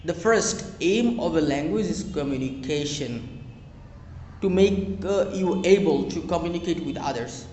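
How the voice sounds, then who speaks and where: A young Bangladeshi man, a native Bengali speaker, gives earnest advice to English learners, repeating phrases and pausing with fillers. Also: fairly high pitch, expressive, a little mellow, slight background noise. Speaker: spoken English teacher. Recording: echoey room with long reverb.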